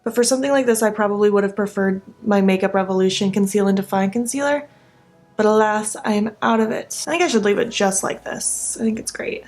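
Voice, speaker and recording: A woman's voice: mid-range voice, brisk, a little mellow, faint music behind. A young woman vlogger chatting casually while doing her makeup, sleepy-voiced and rambling with lots of filler likes. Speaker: beauty vlogger. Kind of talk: casual get-ready-with-me chat.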